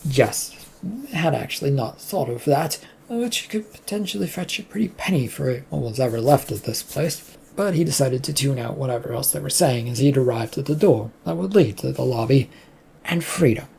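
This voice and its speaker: A narrator of unclear sex reading a scripted story aloud in a husky, creaky voice. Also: mid-range voice, lively intonation, moderate pace, a little mellow, slight background noise.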